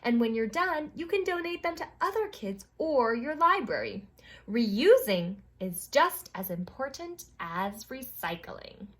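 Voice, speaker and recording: Patient storybook guardian man, gentle and sensible, animated high delivery by a female reader. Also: measured pace. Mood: gently firm.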